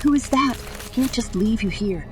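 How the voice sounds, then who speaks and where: A woman's voice: a little high, lively intonation, normal speed, noisy background, natural voice. A woman playing a determined, persistent female reporter in a sci-fi audio drama. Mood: urgent. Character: determined, fearless young female reporter on Mars. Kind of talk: audio drama acting and narration. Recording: voice over loud music.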